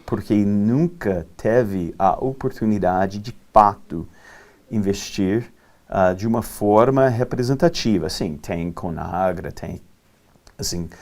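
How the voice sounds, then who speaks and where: A middle-aged man from Texas with a Texan accent speaking Portuguese as a non-native, a panel guest who talks haltingly with many fillers and restarts. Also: on the low side, darkish tone. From Texas, USA. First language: English. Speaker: panel guest, land investment manager. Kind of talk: panel discussion.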